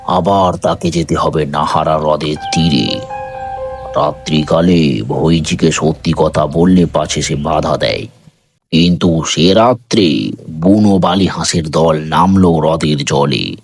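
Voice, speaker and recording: A man reading a literary story in a measured, dramatic narrating style. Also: extremely low pitch, slightly rough.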